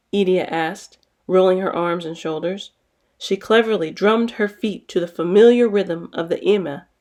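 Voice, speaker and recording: A woman's voice: on the low side, darkish tone. A woman narrating a children's picture book as a storytime host, reading expressively.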